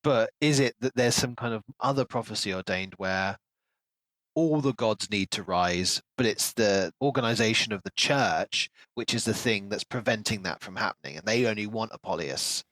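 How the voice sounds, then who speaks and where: A man's voice: fairly low pitch, normal speed, fairly bright tone, dry recording, low-quality recording. A man co-hosting a podcast, talking casually and analytically about a book as he thinks aloud. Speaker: podcast co-host.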